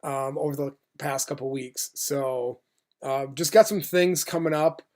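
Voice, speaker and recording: A man's voice: a little high, steady tone, measured pace, darkish tone. An American man giving a casual vlog update as an animator, speaking informally in a rambling, hesitant way.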